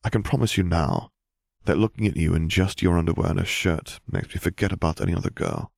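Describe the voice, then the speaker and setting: An affectionate young man in a storybook, read by a male narrator. He speaks softly and reassuringly, placating whoever he talks to, in a very dry recording. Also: low voice, fairly quick, gravelly, no echo. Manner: soft, reassuring.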